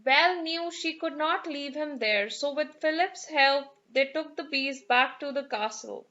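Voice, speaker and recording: Woman doing kids story narration, high pitched n bright, expressive, bit of a dull recording. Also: unhurried. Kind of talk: scripted children's storybook narration.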